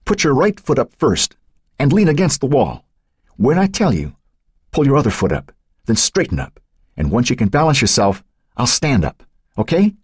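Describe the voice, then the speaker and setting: A man's voice: mid-range voice, huge pitch swings, moderate pace, pauses often, darkish tone, husky, no room sound, studio quality, pitched above the performer's own voice. A man voicing a determined young boy who coaxes and insists, guiding a scared friend in a storybook reading. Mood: coaxing. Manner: urging, insistent.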